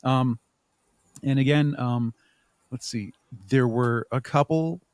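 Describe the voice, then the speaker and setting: A man's voice: medium pitch, slow pace, a little mellow, clear, resonant tone, no room sound, clean studio sound. A man speaking casually as a podcast guest, explaining his creative work in a rambling, chuckling way. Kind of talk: casual interview chat.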